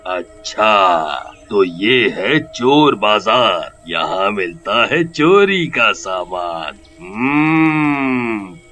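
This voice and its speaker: A man doing a sly, moralizing ghost in an animated storybook, with a low, bright, edgy voice. He muses at a very slow pace and chuckles to himself, with faint music behind. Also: full character voice. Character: male ghost, sly and moralizing, roaming the market.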